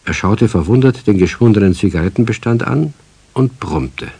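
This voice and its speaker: A man narrating a children's radio play as a calm, measured storyteller. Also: lowish voice, vocal fry, breathy edge, heavily acted.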